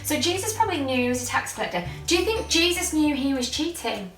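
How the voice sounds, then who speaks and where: A woman's voice: high-pitched, big pitch swings, fast. A woman leading children's Sunday school, explaining simply and asking lots of questions. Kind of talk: interactive children's Bible teaching. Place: indoor church studio. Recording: echoey room, distant mic, faint music.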